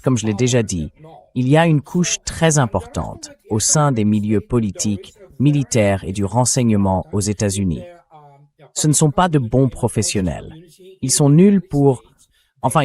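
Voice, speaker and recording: A man's voice: on the low side, a little mellow. A man's synthetic voice dubbing a blunt, emphatic political commentator in an interview. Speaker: interview guest, translated voice-over.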